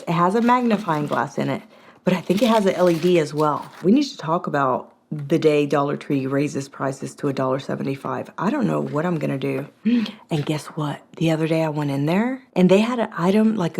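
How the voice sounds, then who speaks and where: A middle-aged woman, hosting a casual product review vlog in a chatty, animated way, a little hoarse. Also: a little hiss or hum. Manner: chatty and animated, with gasps and big jumps in pitch.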